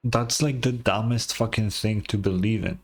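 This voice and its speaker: A man's voice: on the low side, takes it slowly. A young man giving casual, blunt and sarcastic reaction commentary as a game streamer, swearing freely.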